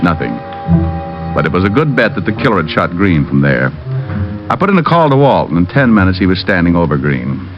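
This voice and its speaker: A man's voice: low-pitched, very animated, a little fast. A man playing a weary, wisecracking private detective with an American accent, narrating and trading flippant lines in old radio drama style. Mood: wry narration. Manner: wry, flippant, sardonic. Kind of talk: 1940s-50s radio detective acting and narration. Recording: muffled old radio recording with music.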